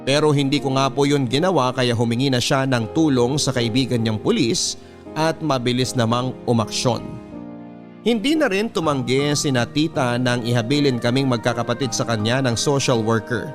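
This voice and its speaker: A man's voice: mid-range voice, normal speed, over music. A man narrating a scripted first-person story as a radio storyteller. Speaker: radio storyteller reading listener's letter.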